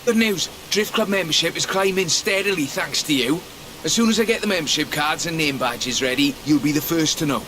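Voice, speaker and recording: A man's voice: on the high side, lively intonation, fairly quick. An actor with a British accent playing a fussy, polite male drift club instructor in a video game, chatty and precise. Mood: pleased, chatty. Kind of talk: scripted video game character dialogue. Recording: loud music and car engine noise. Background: music and car engine sounds.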